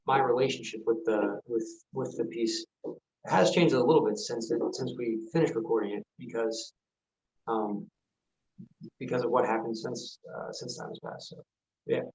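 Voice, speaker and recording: A man answering as an actor in a casual online Q&A, halting and hesitant as he talks. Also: mid-range voice, darkish tone. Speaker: actor on a panel. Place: remote video call. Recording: dull, lo-fi video call audio.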